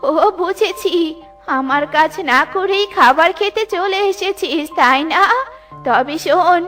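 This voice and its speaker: A woman acts an elderly granny in a children's cartoon, stern and quick to scold. Her voice sits high, with music playing behind. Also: a bit bright, exaggerated voice. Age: older. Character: scolding, superstitious old granny of the forest. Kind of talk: children's cartoon story acting.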